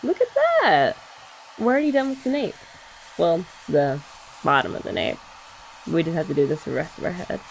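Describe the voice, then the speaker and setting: A woman's voice: deep voice, expressive, moderate pace, gravelly, pauses often, a little hiss or hum, poor audio. A young woman doing a chatty ASMR girlfriend roleplay, explaining each step and teasing her listener. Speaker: ASMR creator.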